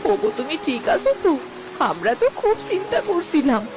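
A woman's voice: high voice, big pitch swings, fairly quick, over music. A woman voicing an anxious, fretful village farmer's wife in a cartoon folk tale, with a wailing delivery. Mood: worried, reproachful.

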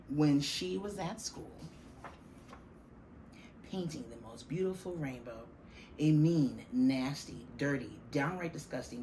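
Slow, low-voiced woman reading a storybook. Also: darkish tone, poor audio. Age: middle-aged. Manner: deliberate, emphatic, dramatic stresses on key words. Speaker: teacher reading a picture book aloud to children. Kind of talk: expressive children's storybook reading. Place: small classroom, indoors. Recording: faint room noise behind the voice.

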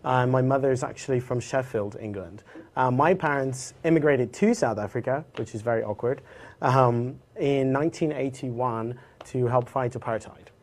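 A young man answering interview questions in a relaxed way on a small studio set, with many ums and uhs. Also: medium pitch, moderate pace. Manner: relaxed, with frequent uh and um. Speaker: interview guest.

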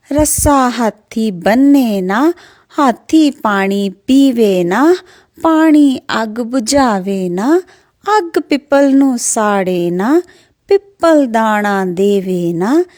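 A woman telling a children's folk tale in an expressive, rhythmic sing-song way. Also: fairly high pitch, no echo, studio quality. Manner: expressive, singsong, repetitive rhythmic delivery. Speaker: storyteller.

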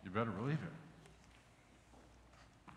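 A man's voice: fairly low pitch, animated, very slow, a little hiss or hum. A middle-aged man, preaching a sermon in a conversational way to his congregation in a large church sanctuary, asking them questions as he walks about. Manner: conversational preaching, asks the congregation questions, gestures with raised hands. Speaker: pastor. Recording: echoey church hall, faint ambience.

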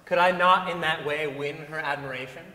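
A man with a slightly high voice delivers a dramatic staged reading. The room is very echoey, with a long concert hall tail. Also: fairly even intonation, normal speed, bright, forceful tone. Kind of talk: dramatic reading and announced narration. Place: large concert hall stage before an audience.

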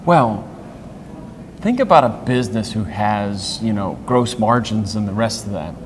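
A man's voice: fairly low pitch, lively intonation, unhurried. A man in his fifties giving conversational interview answers, plain-spoken and explaining through stories and analogies. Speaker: interview guest, industry executive. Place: hotel conference corridor, indoors. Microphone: clip-on wireless lapel mic. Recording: long hall reverb, faint background noise.

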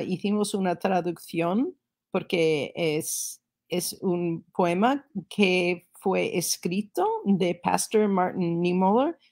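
Slow, gravelly older woman on a video call. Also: on the low side, darkish tone. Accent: learner's Spanish with a Spain-style z. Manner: hesitant, searching for words, many fillers. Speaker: guest speaker, education researcher. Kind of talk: online book launch talk. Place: living room at home, on a sofa. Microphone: laptop webcam video call.

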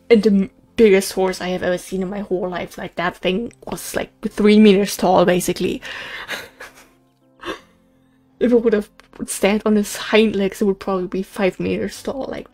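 A woman's voice: on the high side, a little mellow. A woman in her twenties chatting casually and telling stories while playing a game, with frequent giggles. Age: 20s. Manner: chatty, storytelling, giggly asides. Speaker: gaming channel host. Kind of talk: casual let's play commentary. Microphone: USB condenser mic.

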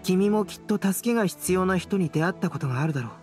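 A calm young scholar in an anime game. He speaks thoughtfully and gently, with expressive, wide pitch movement, over clear background music. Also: quick pace. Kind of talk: Japanese anime game voice acting.

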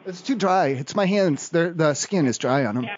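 High-voiced rambling prank-calling man. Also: expressive, normal speed, highs cut off. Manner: rambling, feigned naive, stammering restarts. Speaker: prank caller. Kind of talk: prank phone call.

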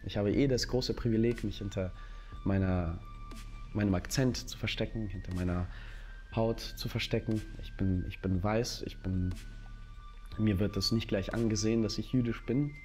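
A man giving reflective personal testimony, searching for his words in a halting way. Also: fairly low pitch, steady tone, darkish tone. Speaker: interviewee, actor. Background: music.

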